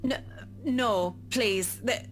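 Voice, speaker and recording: A formal, courteous professional woman in a visual novel. Her voice is somewhat high, reserved and deferential, with polite hesitation and faint music behind. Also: extremely slow. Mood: politely declining.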